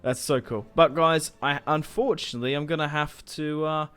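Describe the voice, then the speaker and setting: Chatty gamer talking over gameplay. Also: male voice, a little high, fairly even intonation, moderate pace, fairly bright tone, smooth, faint music behind. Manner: chatty, rambling, swears casually, laughs at own moves. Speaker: gaming YouTuber. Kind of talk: casual let's-play commentary.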